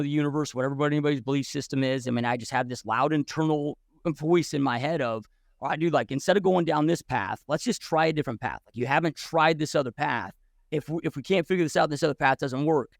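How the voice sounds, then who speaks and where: A man's voice: on the high side, creaky, no room sound, studio quality. A man in his forties, a podcast guest who tells his story bluntly and casually, swearing freely with many restarts and "dude"s.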